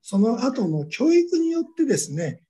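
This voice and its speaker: A man giving a measured academic lecture, pausing often on drawn-out fillers. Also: very high-pitched, very animated, dark tone, dull audio. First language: Japanese.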